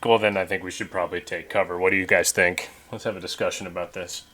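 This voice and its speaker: A man's voice: low voice, fairly even intonation, fast, a crowd in the background. A man talking casually in character and out as a player in a tabletop game.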